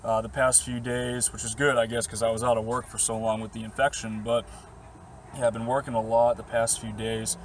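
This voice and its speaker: A young man with a slightly hoarse, worn voice talks casually and rambles easily, with plenty of fillers and short laughs. His pitch sits in an ordinary middle range. Faint outdoor noise hums behind him in his parked camper van. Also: level tone, fairly quick, poor audio. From Boston area. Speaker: vlogger answering viewer questions. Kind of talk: casual chat to camera.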